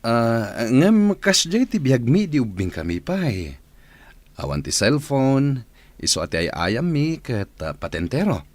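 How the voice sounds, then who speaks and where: A man with an Ilocano accent acting a smooth-talking, evasive husband and father in a radio comedy-drama, often stalling. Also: mid-range voice, very animated, a little slow, no room sound. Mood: chatty.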